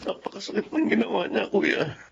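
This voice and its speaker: An actor playing a trusting, heartbroken betrayed husband in a Filipino melodrama, with a Filipino accent. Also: male voice, sits high, big pitch swings, brisk, creaky, heavily acted. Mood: downcast. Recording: muffled recording, faint noise.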